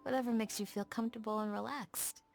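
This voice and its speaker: A woman voicing a gentle, dutiful young desert village guardian in a video game, speaking in a soft, measured, courteous way. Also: a little high, steady tone, vocal fry, soft background music. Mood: calm, welcoming. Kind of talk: scripted game dialogue, storytelling.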